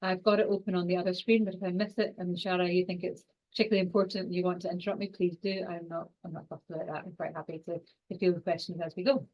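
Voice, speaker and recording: Scottish woman in her forties, lecturer, low-pitched, rapid delivery with fillers, muffled video-call sound. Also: flat delivery, a little mellow. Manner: fast-talking, with frequent fillers and restarts. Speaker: university lecturer and exercise scientist. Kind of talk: online lecture.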